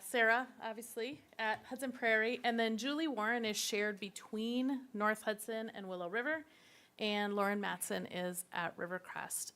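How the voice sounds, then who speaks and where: A woman's voice: medium pitch, fairly bright tone. A woman on district staff giving an upbeat presentation at a public school board meeting, explaining slides as she goes.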